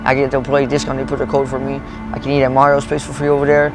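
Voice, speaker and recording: A man's voice: mid-range voice, completely flat delivery, very fast, music in the background. A man in his late thirties telling his story in a street interview, rambling, swearing a lot and restarting his sentences. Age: 30s. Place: outdoors in a parking lot.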